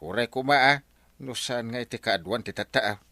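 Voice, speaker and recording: A man's voice: mid-range voice, normal speed, bright, forceful tone, no echo. A man playing an exasperated village captain scolding people in an Ilocano radio comedy drama.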